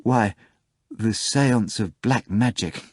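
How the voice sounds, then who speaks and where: A man's voice: lowish voice, slow, slightly rough, no echo, studio quality. A man voicing a timid, flustered middle-aged bartender in an audiobook reading. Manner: flustered, hesitant.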